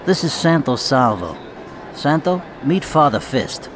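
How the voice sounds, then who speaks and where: A man's voice: a little high, sing-song, unhurried, noisy background. A man acting as a blunt, teasing mafia boss in a radio drama, with clipped delivery. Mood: brusque, teasing.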